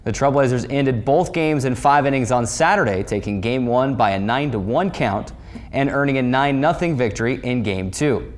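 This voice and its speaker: A man's voice: medium pitch, moderate pace. A male sportscaster with a mid-range voice reads a scripted sports recap. He delivers it like an announcer, leaning into the opening of each new story. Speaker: sports show host and play-by-play voice.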